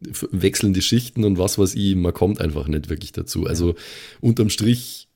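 Casting: male co-host, deep pitch, relaxed-format conversation between friends. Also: normal speed, vocal fry, slightly rough. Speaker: podcast co-host. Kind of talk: casual chat with friends.

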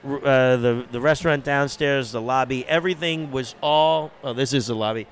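A man's voice: mid-range voice, moderate pace, a little hiss or hum. A man co-hosting a casual podcast chat in a hotel bar, rambling along with lots of hesitation. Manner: rambling, hesitant with fillers and restarts. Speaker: podcast co-host.

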